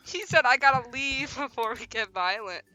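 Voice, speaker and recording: A woman hosting a casual game live stream, expressive and slangy in her banter. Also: high-pitched, a little slow, faint music behind, poor audio. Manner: slangy, expressive, prone to giggling. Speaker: streamer hosting a visual novel playthrough.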